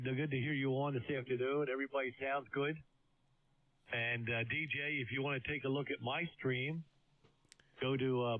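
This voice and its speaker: A man on a ham radio net, talking in an easygoing, friendly way. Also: mid-range voice. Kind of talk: casual on-air radio chat. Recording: thin phone-like radio audio.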